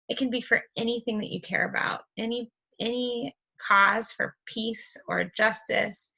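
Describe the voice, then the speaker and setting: Woman, children's read-aloud host. Higher pitch with noticeable vocal fry, expressive, encouraging, chatting between pages. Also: low-quality recording. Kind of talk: children's picture book read-aloud with asides.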